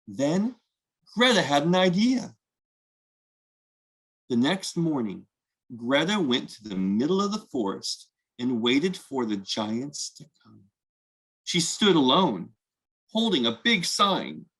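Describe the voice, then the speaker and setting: A man hosting a children's read-aloud, telling a picture book story expressively and deliberately, inviting listeners to join in. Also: a little high, fairly bright tone, breathy edge, poor audio.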